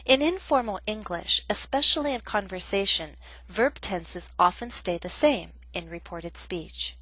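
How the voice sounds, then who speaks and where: A woman gives a scripted grammar lesson, speaking in a clear and measured way. Her voice sits at an ordinary middle pitch, recorded close and dry with no room sound. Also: animated, fairly bright tone, dry recording, rough recording. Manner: clear, measured teaching delivery. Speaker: English teacher.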